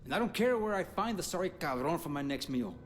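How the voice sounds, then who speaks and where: A man's voice: on the high side, animated, fast, a crowd in the background, lightly acted. An actor playing a hungry, boastful male vampire in a game, talking in a taunting, menacing way. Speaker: game character.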